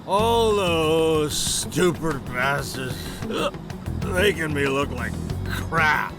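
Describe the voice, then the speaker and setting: A man's voice: a little high, drawn-out words. An actor playing a crude, aggressive drunk businessman in a video game cutscene, drawling and slurring as he bullies. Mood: disgruntled. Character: belligerent drunk man in a suit, crude bully. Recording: loud music over the voice.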